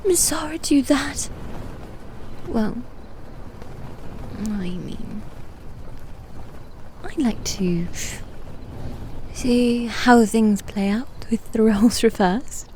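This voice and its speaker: Casting: haughty female demon knight, played by a woman for ASMR roleplay; highish, mellow, teasing, often whispered. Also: slight background noise. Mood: smug, commanding. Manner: soft, breathy, often whispered, deliberate and teasing.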